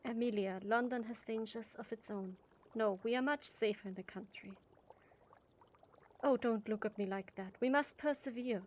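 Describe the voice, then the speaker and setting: A woman's voice: a little high, normal speed, natural voice. An actress playing a loving but firm village mother in a period audio drama, soothing yet stern, with formal phrasing.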